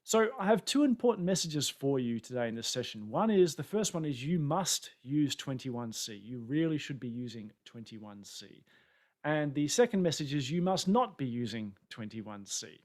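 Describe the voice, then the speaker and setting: A man's voice: medium pitch, big pitch swings, normal speed, darkish tone. A man from Australia with an Australian accent, giving a chatty, self-deprecating webinar presentation over a video call from an indoor room. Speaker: conference presenter. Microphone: webcam setup on a video call.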